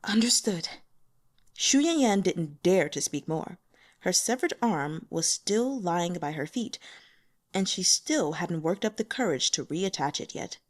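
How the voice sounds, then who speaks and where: A woman's voice: on the low side, animated, measured pace. A woman reading scripted fantasy fiction as an audiobook narrator.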